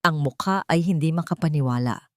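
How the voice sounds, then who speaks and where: Female audiobook narrator, slightly low voice, dry recording, measured dramatic delivery of scripted fiction. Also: dry recording.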